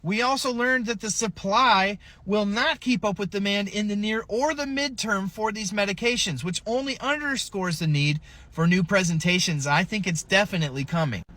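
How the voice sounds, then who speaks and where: A man's voice: high voice, moderate pace, sharp tone. A man hosting a podcast, giving an emphatic, informal news recap straight to viewers. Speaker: podcast host.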